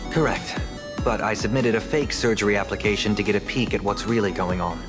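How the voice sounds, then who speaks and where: An actor voicing an earnest young male investigator in a video game, speaking in a measured, formal way. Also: fairly low pitch, natural voice. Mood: resolute. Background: game music.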